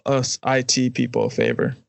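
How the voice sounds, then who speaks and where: A man's voice: medium pitch, very animated, normal speed, gravelly, no room sound. A man co-hosting a podcast, chatting casually in a joking, emphatic and informal way. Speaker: podcast co-host. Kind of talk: casual chat.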